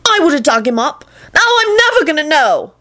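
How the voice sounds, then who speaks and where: A woman voicing an excitable, dramatic young man who shouts and shrieks in a story reading. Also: high voice, brisk, voice pushed up, heavily acted. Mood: angry, sulky.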